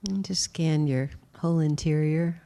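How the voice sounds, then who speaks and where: A woman teaching a guided meditation, speaking gently and unhurriedly with long pauses. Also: deep voice, steady tone.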